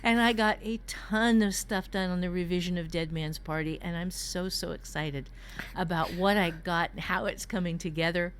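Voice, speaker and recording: A woman's voice: fairly low pitch, moderate pace, clear, resonant tone. Candid older woman chatting on a podcast. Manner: candid, emphatic, salty-tongued storytelling. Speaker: author and writing teacher, podcast co-host. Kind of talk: casual podcast chat.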